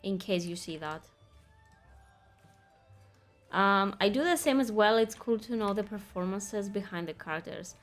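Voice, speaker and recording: A woman's voice: mid-range voice, moderate pace, soft background music. A young woman streaming a game, chatting casually with her viewers, laughing easily and reading quest text aloud. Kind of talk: casual gaming commentary.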